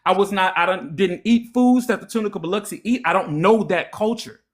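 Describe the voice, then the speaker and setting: A man's voice: high-pitched, a little fast. A young man from Louisiana with a Louisiana accent, hosting a heated livestream debate, lecturing and taunting. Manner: lecturing, mocking, repetitive taunts. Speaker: live stream host.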